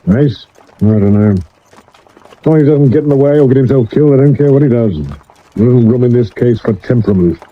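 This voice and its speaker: An actor playing a sober Scotland Yard detective of Victorian times, formal and measured, with a British accent, in a 1970s radio drama. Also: male voice, mid-range voice, lightly acted. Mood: weary, dismissive. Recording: dull old medium wave radio recording.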